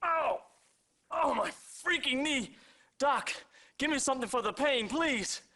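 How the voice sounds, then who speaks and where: Actor as a desperate, high-voiced injured man. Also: expressive, slow, pushed and bright, exaggerated voice. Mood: pained, desperate. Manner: urgent, pained, overwrought. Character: desperate injured man begging a doctor for help. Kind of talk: full-motion-video game acting.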